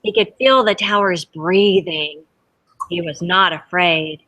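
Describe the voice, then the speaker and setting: Bright, unhurried woman reading aloud. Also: on the low side, poor audio. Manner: expressive, dramatic, pauses to ask the children questions. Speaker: teacher reading a picture book aloud to children. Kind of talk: animated storybook read-aloud to a class. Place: school library with children.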